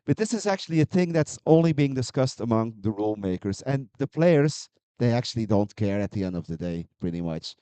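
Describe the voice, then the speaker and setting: A man's voice: mid-range voice, sing-song, fairly quick, hardly any pauses, mellow, smooth, no echo. A man, a poker player speaking on a panel, talking hesitantly with many fillers and restarts. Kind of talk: panel discussion.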